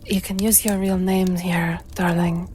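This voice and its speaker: A woman's voice: on the low side, flat delivery, unhurried, a little mellow, a little hiss or hum, lightly acted. A woman playing a worldly, protective adventurer, affectionate and self-assured, in a reassuring, familiar manner. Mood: warmly teasing.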